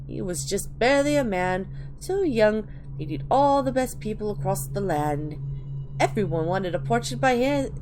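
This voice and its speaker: A woman's voice: medium pitch, animated, moderate pace, lightly acted. A woman acting a creepy, rambling old lady. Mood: wistful, boastful. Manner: cloying, calls listener dearie. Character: rambling, sinister old woman reminiscing about grander days. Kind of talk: dramatic reading of a game journal. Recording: clearly noisy home recording.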